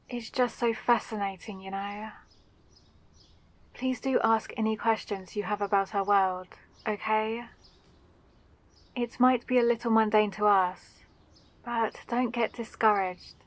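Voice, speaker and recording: Woman playing a slow-spoken, friendly female mage. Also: medium pitch, no echo, natural voice. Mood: warm, encouraging. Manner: gentle, eager, attentive questioning. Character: friendly, caring, curious female mage from fantasy world. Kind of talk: roleplay audio drama for the listener.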